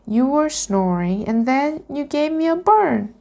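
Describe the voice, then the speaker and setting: A woman's voice: sits high, expressive, a little slow, creaky, a little mellow, voice pushed up, exaggerated voice. A woman voicing a puzzled, plain-spoken young girl in a storybook, blunt and questioning.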